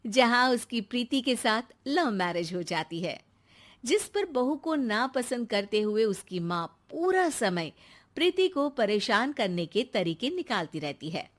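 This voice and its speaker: A woman's voice: on the high side, sing-song, a little slow. A woman giving scripted narration as the storyteller of a family moral story.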